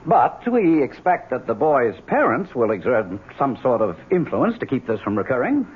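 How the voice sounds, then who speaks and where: A man's voice: medium pitch, big pitch swings, normal speed, breathy edge. A man acting an apologetic but firm gym teacher in a radio drama, courteous, hesitant and placating. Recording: tinny old radio broadcast recording.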